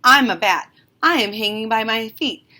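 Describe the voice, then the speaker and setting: A naive little girl bat from a storybook, voiced by a woman reading aloud. She sounds earnest and exclaims her lines, a bit raised in pitch. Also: expressive, normal speed, bright, forceful tone. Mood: indignant.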